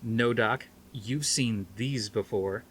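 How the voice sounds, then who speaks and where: A man reading a storybook as an excitable, insistent male researcher with a loud, eager, emphatic delivery. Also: medium pitch, takes it slowly, slight background noise. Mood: eager, smug.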